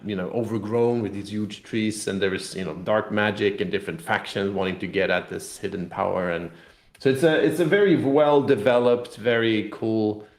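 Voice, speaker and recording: Low-pitched man in an echoey room. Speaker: game designer and interview guest. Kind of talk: casual interview talk. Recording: roomy echo.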